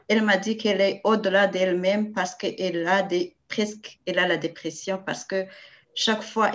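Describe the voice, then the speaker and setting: A woman's voice: medium pitch, highs cut off. A woman sharing a personal story in a forum, speaking earnestly and restarting her sentences. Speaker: forum participant. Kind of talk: personal testimony.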